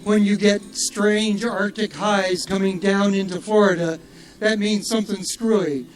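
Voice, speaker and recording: A man's voice: high voice, steady tone, unhurried, poor audio. An older man emceeing from an outdoor festival stage, giving casual, rambling announcements with many fillers and jokes at his own expense.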